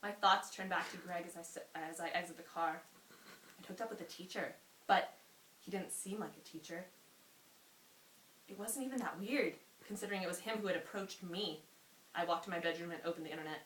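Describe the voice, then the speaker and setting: Female amateur story reader, lowish voice, informal and giggly, roomy sound with faint hiss. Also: brisk, a bit bright. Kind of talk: reading a story aloud, breaking into laughter and asides.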